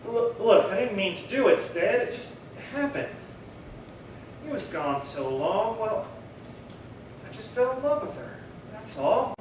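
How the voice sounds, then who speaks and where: An actor playing an anxious rancher in a western radio play, pleading and defensive in a halting way. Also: male voice, high-pitched, expressive. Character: anxious, pleading rancher, the guilty younger-sounding brother. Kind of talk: old-time western radio acting. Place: live theatre stage performance. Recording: very roomy, distant, noisy stage pickup.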